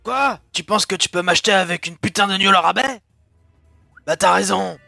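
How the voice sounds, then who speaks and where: A grouchy, swearing demon barman voiced by a man in a cartoon fan dub, sarcastic to the bone. The voice is gravelly and rough-edged, pitched rather high, with a sharp, tense bite. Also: brisk, dry recording, faint music behind, heavily acted. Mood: grumpy. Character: grumpy foul-mouthed male cat demon barman.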